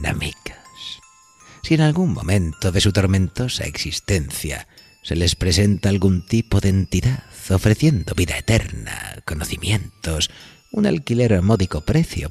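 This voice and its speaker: A man narrating a scripted horror podcast in a solemn, literary, deliberate storytelling manner. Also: low-pitched, gravelly, a little mellow, breathy edge. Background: music bed.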